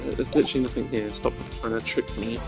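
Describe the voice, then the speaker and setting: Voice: medium pitch, fairly even intonation, quick pace, vocal fry, music in the background. A game streamer giving casual commentary, muttering to themselves while working through a puzzle. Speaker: streamer voicing a game's characters.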